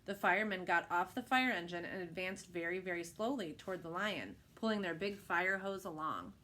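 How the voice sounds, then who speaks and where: A woman reading a children's picture book aloud with expressive narration. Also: lowish voice, normal speed.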